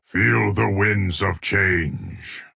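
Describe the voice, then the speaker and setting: A solemn old immortal time guardian man for a fighting game, really low gravelly voice, dry close sound, ominous and formal. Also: steady tone, sharp tone, dry recording, exaggerated voice. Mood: commanding, ominous. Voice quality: gravelly, husky deep voice. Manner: slow, measured, formal, portentous delivery. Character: solemn ancient male keeper of time, stern and fateful. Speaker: video game voice actor. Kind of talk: dramatic fighting game character lines.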